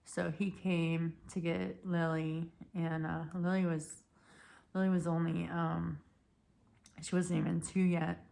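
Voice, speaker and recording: Woman, 30s, hoarse voice cracking, low flat delivery, halting casual vlog storytelling. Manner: rambling, halting, many restarts and fillers. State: losing her voice, cracking. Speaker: vlogger.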